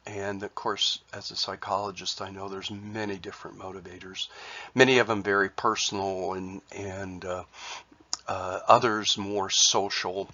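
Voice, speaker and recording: Man, congested from a cold, halting with fillers, bright tone, dull lo-fi recording. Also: low voice, level tone. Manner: halting, many fillers and restarts. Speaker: artist sharing his work. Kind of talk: casual spoken presentation.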